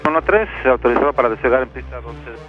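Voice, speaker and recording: A man giving formulaic tower clearances over air traffic radio. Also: mid-range voice, lively intonation, fast talker, dull audio, music in the background.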